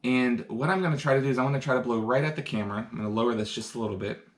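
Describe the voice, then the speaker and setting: A man leads a live science demonstration, upbeat and explaining each step for young viewers. His voice sits at an ordinary middle pitch. The sound carries a roomy echo from the indoor space. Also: very fast. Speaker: science educator. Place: indoor room.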